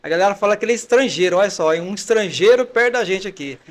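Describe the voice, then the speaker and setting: A man hosts a faith podcast with a chatty, welcoming manner. His voice sits a bit high for a man. He rambles at times and stops to hunt for a word. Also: lively intonation, very fast. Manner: warm welcoming, chatty, searches for words. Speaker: podcast host. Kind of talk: podcast interview.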